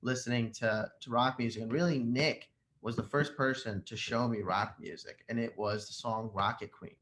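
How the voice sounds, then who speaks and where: A man's voice: mid-range voice, moderate pace, fairly bright tone. Young man storytelling in an echoey room. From Jordan, moved to the United States as a young child. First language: Arabic. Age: 20s. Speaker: podcast guest, rock singer. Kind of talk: casual conversational storytelling. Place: living room at home, indoors, on a video call. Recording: echoey room, faint background noise.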